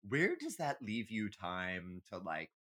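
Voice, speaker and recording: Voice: sits low, huge pitch swings, slow, fairly bright tone. A podcast co-host in casual chat, giving candid, emphatic, sweary opinions like a comic rant.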